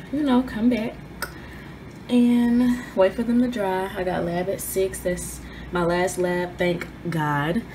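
A young woman in her twenties chatting casually in a vlog from her dorm room, her voice hoarse, filmed on a phone held at arm's length. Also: medium pitch. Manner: chatty, rambling, everyday. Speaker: vlogger. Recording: noisy phone recording.

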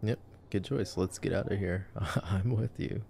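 A man playing a nerdy, affectionate boyfriend in an ASMR sleep-aid roleplay, soft-spoken, teasing and rambling as he comments on a movie. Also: low voice, normal speed, gravelly, a little hiss or hum. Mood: wry, amused.

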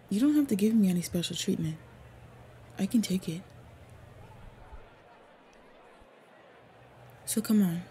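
Over noticeable background ambience comes a woman acting as a protective orc warrior woman devoted to her lover. Her voice is warm and relaxed at a middle pitch. She speaks softly and earnestly, often breathy, in an intimate fantasy roleplay style. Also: close to an everyday voice. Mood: teasing, fond. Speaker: roleplay audio voice actor. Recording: clear background ambient noise. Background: ambience sound effects.

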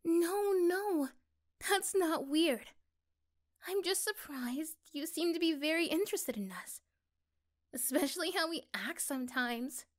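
A woman playing a caring, curious young girlfriend in a soft-spoken, tender ASMR roleplay, breathy at times. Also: very high voice, expressive, a little slow, voice pushed up. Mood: surprised, concerned.

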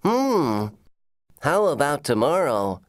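A woman's voice: low-pitched, big pitch swings, takes it slowly, noisy background, full character voice. A woman voicing a carefree, playful male grasshopper in scripted English-lesson cartoon dialogue. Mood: carefree, inviting.

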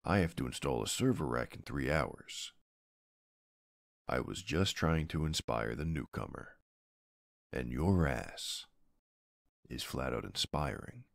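A man's voice: very deep voice, expressive, takes it slowly, creaky, pauses often, no echo, clean studio sound, heavily acted. A man playing a flirty, laid-back gym bro in an ASMR roleplay, drawling and teasing in an easygoing way.

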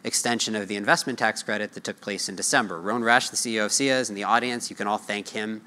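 Bright-voiced American man presenting at a conference. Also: lowish voice, fairly even intonation, quick pace. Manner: conversational, asks and answers own questions. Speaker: market research analyst giving a keynote. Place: large hotel ballroom conference stage. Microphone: lapel mic.

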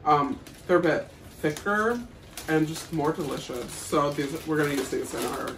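Higher-voiced young man chatting in a roomy space. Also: normal speed, vocal fry, fairly bright tone. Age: young adult. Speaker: vlog co-host. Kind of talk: casual grocery haul chat to camera. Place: small kitchen at home, indoors. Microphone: camera a few feet away. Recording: roomy, distant mic, faint noise.

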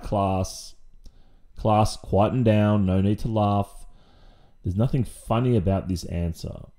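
A man with an Australian accent playing a strict, sarcastic, exasperated maths teacher in an ASMR roleplay, speaking deliberately with pointed pauses. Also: sits low, mellow. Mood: annoyed, scolding.

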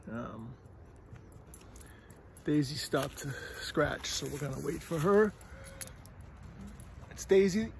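A middle-aged man with a fairly high, gravelly voice, rambling casually as he walks a forest path. He is a little out of breath and keeps checking in with "all right?" There is faint wind noise on the phone recording. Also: expressive, slow pace, pauses often, a little mellow. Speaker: vlogger. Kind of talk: casual walking vlog. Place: windy forest trail outdoors. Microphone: phone at arm's length.

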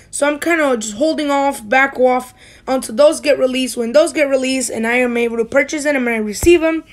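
A school-age youngster from the United States hosting a hobby channel, giving a casual, rambling voice-over that often corrects itself. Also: sits high.

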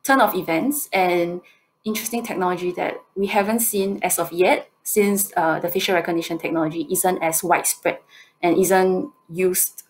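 A woman in her twenties with a Singaporean accent, answering questions on a video call in halting, hesitant phrases. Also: on the low side, slight background noise, poor audio. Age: 20s. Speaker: competition presenter answering judges' questions. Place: indoor room, on a video call.

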